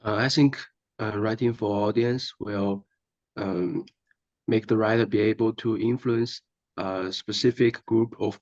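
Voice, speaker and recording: A nineteen-year-old man speaking haltingly with many fillers in a student podcast discussion. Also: fairly low pitch, darkish tone, no room sound, dull audio. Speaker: student panelist.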